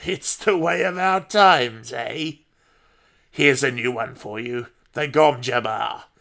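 Man voicing a menacing, imperious old woman, slow-spoken. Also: mid-range voice, gravelly, fairly bright tone. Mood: mocking, threatening. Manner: commanding, taunting, deliberate.

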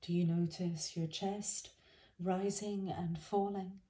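A woman guides a meditation in a mellow, relaxed voice that sits slightly low. She speaks very slowly and gently, soothing the listener, and lets the ends of her phrases fall softly. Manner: gentle, soothing, unhurried with soft phrase endings. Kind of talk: guided body scan meditation.

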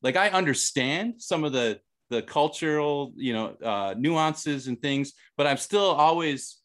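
A man's voice: on the high side, animated, unhurried, fairly bright tone. Rambling man searching for words. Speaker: podcast co-host. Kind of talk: casual chat.

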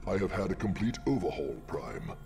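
An actor playing a gruff, proud, combative robot soldier with a gravelly voice in a video game. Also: male voice, deep voice, big pitch swings, brisk, background noise, exaggerated voice. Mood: proud, defiant. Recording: loud music over the voice.

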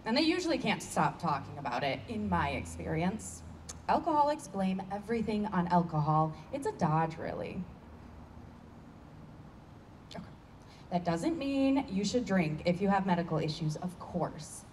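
A woman's voice: lowish voice, sing-song, normal speed, a little mellow. A woman in her thirties in a staged play reading, playing a blunt, dismissive, seductive lover with a casual assurance. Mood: dismissive, assured. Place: stage before a painted block wall. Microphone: handheld microphone. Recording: distant stage pickup with ambient noise.

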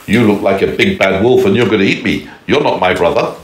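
A man voicing a scared male pig refusing to let anyone in, loud and frightened. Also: fairly low pitch, animated, a little fast, natural voice. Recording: echoey room, faint clinking dishes.

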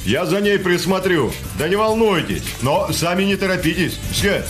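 An actor playing a practical, plain-spoken garage mechanic in a radio drama, matter-of-fact and reassuring. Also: male voice, sits high, sing-song, moderate pace, loud music behind the voice.

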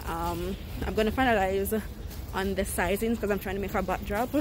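A woman's voice: medium pitch, steady tone, normal speed, noisy background. A young adult woman, chatting casually to a handheld phone as a family vlogger. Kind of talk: casual vlog chat. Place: busy street and supermarket aisles. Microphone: handheld phone at arm's length.

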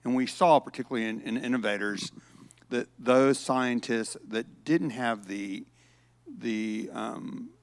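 A man's voice: mid-range voice, unhurried, dry recording. Guy hosting a stage chat, handheld mic, starts and restarts his questions, dry close sound. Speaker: interviewer, event co-founder. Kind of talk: conversational stage interview. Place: conference stage, indoors.

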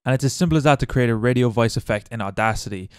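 A man narrating a casual step-by-step software tutorial in a chatty, informal way on a good close microphone. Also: medium pitch, rapid-fire, clear, resonant tone, no room sound. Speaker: tutorial host. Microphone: good close microphone, voice-over.

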